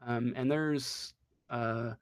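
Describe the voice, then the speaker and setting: A man working as game master, improvising a tabletop role-playing story in a halting, drawn-out way. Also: medium pitch, steady tone, clear, resonant tone.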